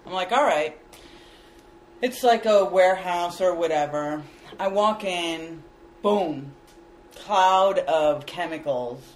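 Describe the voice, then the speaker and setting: A man's voice: high voice, slow pace, long pauses, slight background noise. A man whose first language is not English, a guest video editor telling a story casually and acting out what people said. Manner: animated, laughing, acts out quotes.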